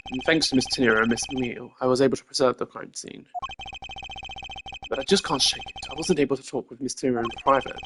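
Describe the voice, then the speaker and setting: A man streaming a game, reading the hero's lines in a measured, formal, composed way. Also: medium pitch, noisy background. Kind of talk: dramatic reading of game dialogue. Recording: game music behind voice.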